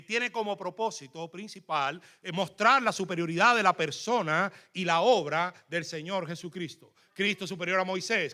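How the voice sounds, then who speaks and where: A man with a Cuban accent preaching a sermon in a fervent, emphatic way, often pausing on fillers. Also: sits high, sharp tone. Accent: Cuban Spanish. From Cuba. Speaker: guest preacher.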